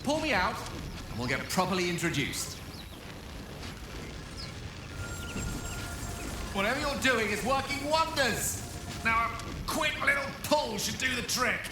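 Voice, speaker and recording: A man's voice: extremely high pitch, very animated, normal speed, noisy background. A man playing an affable, erudite wizard in a fantasy drama, wordy, wry and courteous in a theatrical way. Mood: pleading, wry. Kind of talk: cinematic fantasy game-style acting.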